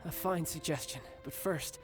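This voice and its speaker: A male actor voices a suave young man from a fantasy game, protective and composed with a playful edge. His voice sits slightly high for a man, and music plays clearly behind it. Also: moderate pace, lightly acted. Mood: smooth, teasing. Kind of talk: dramatic game voice acting.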